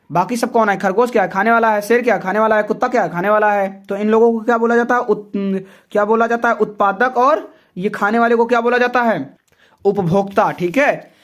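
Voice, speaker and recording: A man teaching a class, working through a science model exam paper with students in a chatty, repetitive way. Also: sits high. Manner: chatty, calls students "friends", repeats words often. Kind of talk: exam paper walkthrough lesson.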